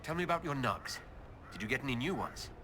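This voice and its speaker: A courteous elven leader in a fantasy game. His medium voice moves expressively yet stays measured, with noticeable ambient noise behind. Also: medium pitch, animated, sharp tone. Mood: friendly. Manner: measured, inquiring. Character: composed, courteous male elf leader. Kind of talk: video game character dialogue. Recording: clear background noise and ambience.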